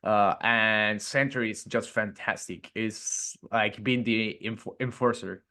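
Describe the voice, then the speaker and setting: Man, from Mexico, native Spanish speaker, relaxed, fillers and restarts, interview guest. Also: on the low side, slow. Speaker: gym owner, guest. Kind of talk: casual interview chat.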